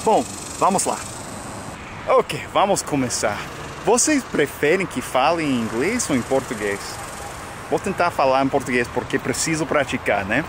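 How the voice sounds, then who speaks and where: A young American man, a native English speaker, chatting casually to the camera in a sunny park, relaxed with lots of fillers. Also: mid-range voice, normal speed. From United States. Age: young adult. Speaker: vlogger and English teacher. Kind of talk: casual outdoor vlog. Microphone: camera mic at arm's length. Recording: outdoor noise from traffic.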